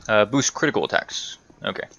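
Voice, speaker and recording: A man commentates casually on a video game as he plays it. His voice sits a little low, yet it has a bright, forceful edge. He rambles along, muttering to himself and addressing the game's characters as he goes. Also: animated, a little fast, creaky. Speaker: gaming video host.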